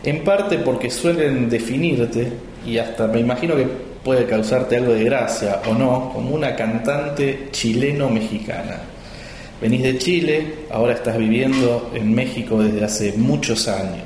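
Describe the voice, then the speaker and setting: A man's voice: mid-range voice, unhurried, darkish tone, slight background noise. A man with an Argentine accent hosting a podcast interview, asking long, winding questions from a hotel room. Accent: Argentine Spanish. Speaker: podcast host. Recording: roomy echo with faint music.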